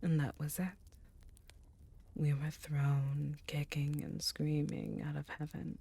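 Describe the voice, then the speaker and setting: A woman voicing an ancient succubus queen, tender and soothing, in a soft, breathy, intimate ASMR roleplay. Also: sits low, flat delivery, exaggerated voice. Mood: wistful storytelling. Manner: slow, intimate, reassuring.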